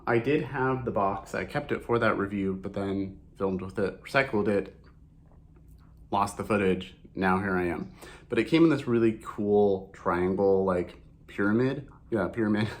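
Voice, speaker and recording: A man with a hoarse voice, recovering from a cold, chatting casually through a makeup review vlog and often correcting himself mid-sentence. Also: lowish voice. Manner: chatty and rambling, with frequent self-corrections.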